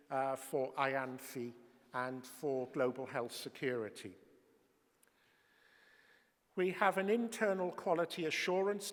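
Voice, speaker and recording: A man giving a formal conference presentation as a public health official, measured and explanatory, with occasional hesitations. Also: a little high, fairly bright tone. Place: conference hall. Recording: reverberant lecture hall.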